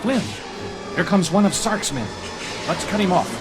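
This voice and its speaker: Animated, earnest male hero. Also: high voice, moderate pace, over loud music. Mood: heroic, urgent. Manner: earnest, emphatic. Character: earnest, determined male programmer and heroic security program. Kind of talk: read-along record dramatization.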